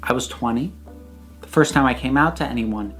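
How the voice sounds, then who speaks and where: A speaker in their thirties giving a prepared personal reflection, with measured, emphatic phrasing and deliberate pauses. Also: medium pitch, a bit bright, soft background music. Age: 30s.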